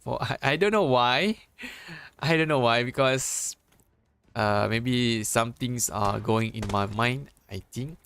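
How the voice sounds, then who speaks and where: Malaysian man casting esports in halting English. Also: medium pitch, a bit bright, clean tone. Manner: halting, self-correcting English with pauses. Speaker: esports caster. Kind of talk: live game commentary.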